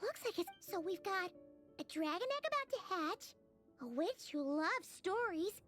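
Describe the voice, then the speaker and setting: A woman voicing a small, chatty, excitable female sprite companion in a video game, with a squeaky childlike voice and a cutesy, exclamatory manner. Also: measured pace, faint music behind, heavily acted. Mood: curious.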